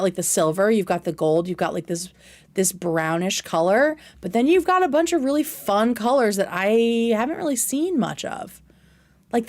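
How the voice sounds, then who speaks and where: A woman's voice: mid-range voice, darkish tone. Effusive, slangy woman chatting on livestream. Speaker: livestream host reacting to a video. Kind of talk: casual livestream chat with commentary.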